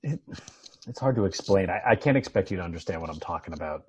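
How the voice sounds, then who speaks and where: Casting: melancholy, unemployed husband male actor, low husky voice, understated and halting delivery for naturalistic TV drama. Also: animated, darkish tone. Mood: hesitant, resigned. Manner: hesitant, understated. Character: married unemployed man, melancholy and hesitant. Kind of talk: naturalistic table read of a TV drama.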